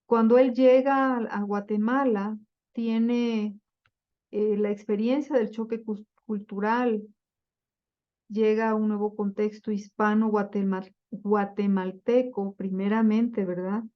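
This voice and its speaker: Woman, lecture voice, slow, deliberate, fillers and restarts, slightly dull audio. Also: medium pitch, flat delivery. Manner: slow and deliberate, with many fillers and self-corrections. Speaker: lecturer on Bible translation. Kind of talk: slide-based lecture.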